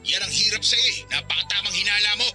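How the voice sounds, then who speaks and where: Irritable boyfriend, extremely high male pitch, fast, defensive, radio drama. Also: bright, forceful tone, faint music behind. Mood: angry, dismissive. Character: defensive, irritable boyfriend snapping over the phone.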